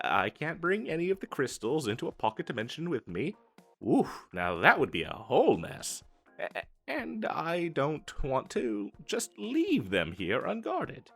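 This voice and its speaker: A man's voice: medium pitch, vocal fry, a bit bright, exaggerated voice. A man voicing an eager, boastful wizard salesman in a comedic fantasy audio roleplay, with theatrical emphasis and a stammering, hard-selling manner. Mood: flustered, defensive. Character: eager, boastful male wizard salesman hawking magical wares. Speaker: street vendor character in an audio roleplay.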